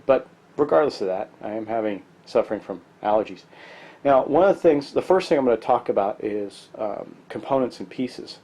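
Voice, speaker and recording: A middle-aged man with a mellow, dark tone and a stuffy, congested sound. He talks through a tabletop game in a loose, rambling way, full of ums and false starts. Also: medium pitch. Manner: rambling, many ums and restarts. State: stuffy from allergies. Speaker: board game reviewer. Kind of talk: casual tabletop game walkthrough.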